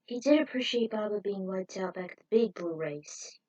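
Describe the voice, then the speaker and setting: A woman's voice: medium pitch, expressive, normal speed, mellow, no room sound, muffled recording. Woman informally narrating her fan fiction. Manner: informal, breaks off for opinionated asides. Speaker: storyteller reading own fan fiction.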